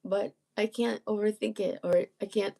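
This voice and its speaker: A woman with a gravelly, husky, croaky voice, strained after illness, chatting casually on a live stream and often clearing her throat. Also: medium pitch, steady tone, normal speed, warm tone. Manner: rambling, self-interrupting, frequent throat clearing. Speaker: musician and channel host.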